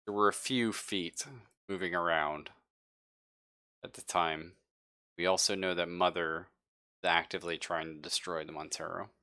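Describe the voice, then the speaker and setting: A man's voice: sits low, fairly even intonation, moderate pace, pauses often, sharp tone. A man casually wrapping up a tabletop game session as game master, slangy and playful.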